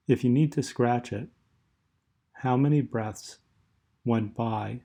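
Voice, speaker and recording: A man leading a guided meditation in a soothing, measured way, leaving long pauses as he speaks. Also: medium pitch, dark tone.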